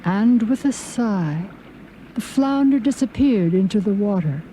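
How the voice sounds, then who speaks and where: A woman's voice: mid-range voice, expressive, unhurried, mellow, a little hiss or hum, rough recording. A woman narrating a folk tale as scripted storybook narration for English learners.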